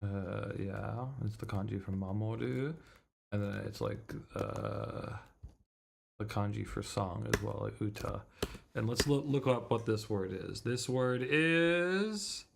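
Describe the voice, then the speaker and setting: Low, gravelly-voiced man streaming casually. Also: takes it slowly, slight background noise. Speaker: streamer reading a visual novel. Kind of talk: casual livestream commentary, thinking aloud.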